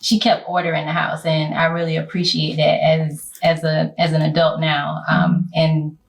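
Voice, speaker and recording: A woman of forty-one speaks in a casual group talk. Her voice is slightly low and sounds as if it comes from some distance from the mic. She is animated and restarts her phrases often. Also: moderate pace, gravelly.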